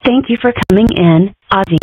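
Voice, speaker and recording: A woman voicing a courteous, reassuring school psychiatrist in a comic strip dub, formal and measured. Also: medium pitch, darkish tone, slightly rough, no room sound, close to an everyday voice. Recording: muffled, phone-like narrow sound.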